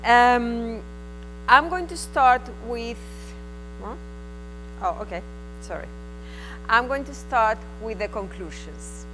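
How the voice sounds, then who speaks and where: A woman's voice: fairly high pitch, expressive, slow, pauses often, a bit bright, slight background noise, low-quality recording. A woman from Spain with a Spanish accent, presenting at a conference in a frank, conversational way that comes in short bursts. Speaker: invited conference speaker. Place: conference hall.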